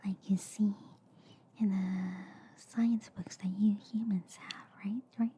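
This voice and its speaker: A woman voicing a friendly, slightly shady alien girl doctor in a whispered ASMR roleplay, soothing and hesitant with soft repeated reassurances. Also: mid-range voice, steady tone, slow pace, lightly acted. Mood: gently explaining. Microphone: close ASMR microphone. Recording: faint background noise, close-up ASMR.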